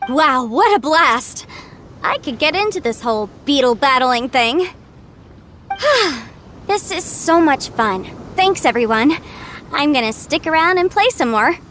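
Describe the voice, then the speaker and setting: A woman's voice: high-pitched, expressive, measured pace, fairly bright tone, a little hiss or hum. A woman playing a sweet, modest young novice in an anime game, with a friendly, polite, cheerful manner. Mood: delighted.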